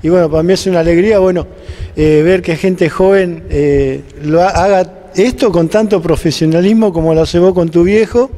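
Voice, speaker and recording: A man's voice: high voice, normal speed, dark tone. An older man, hosting a casual on-location interview with a handheld microphone. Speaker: interviewer, programme host. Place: indoor block-walled room at a racetrack.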